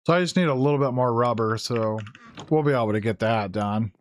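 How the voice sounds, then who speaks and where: A hoarse man who is losing his voice, giving casual gameplay commentary, thinking aloud with fillers and self-corrections. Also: mid-range voice, fairly quick, slight background noise. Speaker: gaming YouTuber. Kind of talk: casual Let's Play commentary.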